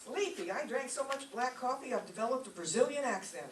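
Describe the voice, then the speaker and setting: Woman playing a lively, wry, weary roommate. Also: a little high, a little fast, lightly acted. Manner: wry, long-suffering. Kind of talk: old-time radio comedy acting before an audience. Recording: noisy, echoey stage recording from far off.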